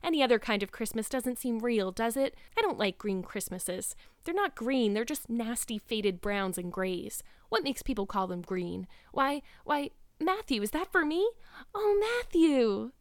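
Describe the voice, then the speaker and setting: A woman's voice: a little high, sing-song, measured pace, no echo. A woman voicing a rapturous, dreamy young girl in a storybook, gushing and exclamatory. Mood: delighted.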